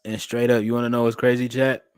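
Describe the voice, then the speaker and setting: A young man, talking to his livestream chat in an animated, preacherly way. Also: mid-range voice, quick pace, clean tone, no room sound, clean studio sound. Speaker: streamer and podcast host.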